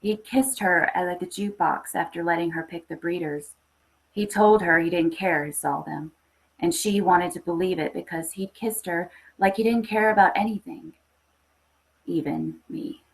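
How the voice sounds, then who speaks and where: A woman reading a literary horror short story aloud with expressive, scripted narration. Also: on the low side, normal speed, poor audio. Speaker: story reader.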